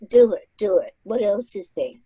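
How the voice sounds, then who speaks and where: A woman's voice: mid-range voice, animated, normal speed, mellow, breathy edge, no room sound. A woman author who learned English as a second language, speaking in a chatty, emphatic way and often repeating phrases for effect, over a video call. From migrated to Australia at age nine. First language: not English. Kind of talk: casual online library talk.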